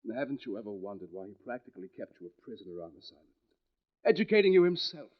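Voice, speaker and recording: An actor playing an intense, accusing man with deliberate, insistent menace in a 1940s radio mystery, on a dull vintage recording. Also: fairly low pitch, sing-song. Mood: grave, insistent.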